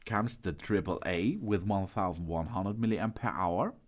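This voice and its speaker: A man presenting a product unboxing and explainer, partly reading technical text aloud, with halting delivery and stumbles over words. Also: low voice.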